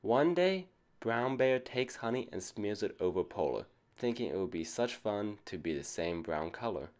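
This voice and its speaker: Man, low voice, storybook reading for kids, teacherly. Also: animated, moderate pace, a little mellow. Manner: asks young listeners questions as a teacher would. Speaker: storybook reader for children. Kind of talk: children's picture book read-aloud.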